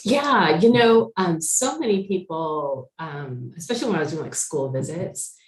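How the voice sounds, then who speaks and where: A woman's voice: on the low side, animated, moderate pace. A woman in her forties, a novelist, chatting casually about her writing with many fillers and restarts. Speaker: novelist guest. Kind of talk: casual conversation. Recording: roomy echo.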